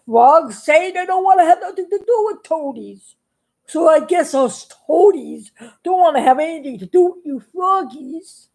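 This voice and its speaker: A woman's voice: extremely high pitch, lively intonation, moderate pace, darkish tone, voice pushed up. A woman voicing a pair of toads, snooty at first and then stammering in fear. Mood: taunting. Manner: stammering, sing-song taunting.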